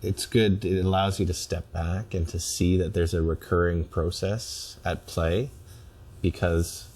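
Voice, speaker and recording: Very low-voiced analytical man. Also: steady tone, a little mellow, breathy edge. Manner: analytical, articulate, measured wording. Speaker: client in couples therapy. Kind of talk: reflective conversation.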